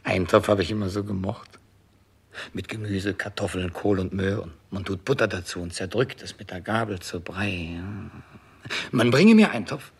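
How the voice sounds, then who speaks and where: Husky, low-voiced dying king. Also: moderate pace, exaggerated voice. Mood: craving, demanding. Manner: theatrical, wavering between commands and murmured reverie. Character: dying king, clinging, self-absorbed, wistful and capricious. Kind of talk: 1960s German radio play acting.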